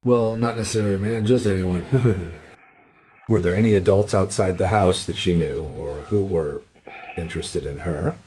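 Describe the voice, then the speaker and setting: Radio crime drama: male actor as dry, questioning police inspector, low register, measured delivery, some ambient noise behind. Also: warm tone, natural voice, poor audio. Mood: polite, sober. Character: male detective inspector, probing, dry, world-weary. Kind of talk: crime radio play acting.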